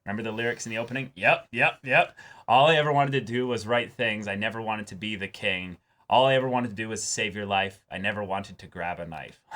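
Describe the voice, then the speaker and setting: A man's voice: lowish voice, pushed and bright. A man hosting a casual reaction show, commenting enthusiastically and reading out viewer comments, often breaking into exclamations.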